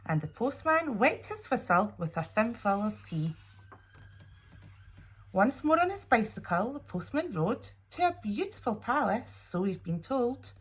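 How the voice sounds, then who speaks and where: A woman reading a children's storybook aloud expressively, with dramatic pauses and little asides to young listeners. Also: fairly high pitch, husky, poor audio. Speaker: teacher reading a picture book aloud.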